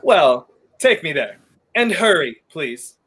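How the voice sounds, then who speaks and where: Young actor as wry, dapper fugitive hero, period radio drama, clipped and widely swooping delivery. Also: male voice, on the high side, big pitch swings, slow, a bit bright, husky, exaggerated voice. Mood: breezy, teasing. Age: young adult. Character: debonair, wry wrongly accused man on the run. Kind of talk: 1930s radio play acting.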